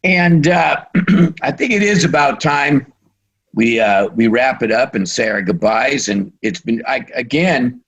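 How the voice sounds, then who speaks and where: A man hosting a radio interview, halting as he searches for words, with a voice that is starting to give out. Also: mid-range voice, lively intonation, a bit bright, highs cut off. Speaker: radio show host.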